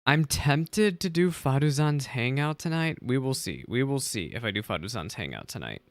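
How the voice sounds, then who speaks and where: A man casually streaming a game, chatty and excitable, repeating himself often as he talks. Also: medium pitch, moderate pace, no echo, clean studio sound. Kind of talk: casual livestream commentary.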